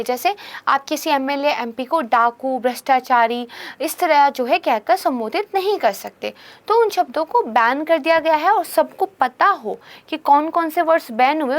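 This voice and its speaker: A young woman teaching an exam-prep lecture, a little hoarse, explaining steadily and checking in with "right?" and "theek hai". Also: high-pitched. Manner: explanatory, peppers speech with English terms and "theek hai". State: hoarse from a sore throat. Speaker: current affairs teacher.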